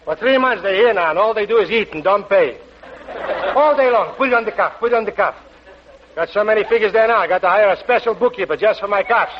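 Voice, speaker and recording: Man acting as miserly restaurant owner, high voice, colloquial griping, wisecracks, 1940s radio comedy, noisy dated recording. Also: fast talker, lo-fi sound, close to an everyday voice. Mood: grumbling, sarcastic. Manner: colloquial ungrammatical wisecracking complaints.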